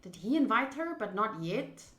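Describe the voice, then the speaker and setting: A woman's voice: fairly high pitch, very animated, normal speed, fairly bright tone, reverberant. A woman streamer doing a game playthrough, reading text aloud and adding casual commentary.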